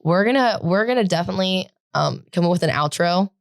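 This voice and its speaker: A teenage girl co-hosting a podcast in casual chat, talking loudly and rambling with lots of "like" fillers. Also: on the low side, lively intonation, quick pace, vocal fry, no echo, clean studio sound. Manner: loud, projecting, rambling with many "like" fillers. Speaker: podcast co-host.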